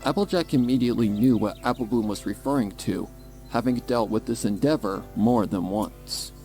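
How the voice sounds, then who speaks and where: A man's voice: on the low side, normal speed, dark tone. A man reading scripted, dramatic story narration as the narrator. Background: music bed.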